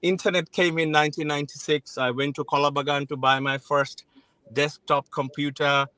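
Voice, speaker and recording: A man's voice: fairly high pitch, little pitch movement, normal speed, pushed and bright, no room sound. Reflective Bangladeshi-born man, dry sound. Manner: frank, reflective, with many restarts. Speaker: guest. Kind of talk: interview conversation.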